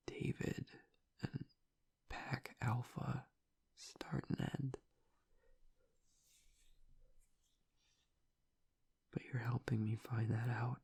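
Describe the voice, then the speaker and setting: Man acting as a tearful, raspy, whispering werewolf boyfriend. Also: darkish tone, exaggerated voice. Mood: tender, vulnerable. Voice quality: breathy, gravelly rasp. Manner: halting, low-voiced, choked with emotion. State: tearful, on the edge of crying. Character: gruff young male werewolf pack alpha, grieving, self-doubting boyfriend. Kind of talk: intimate audio roleplay acting.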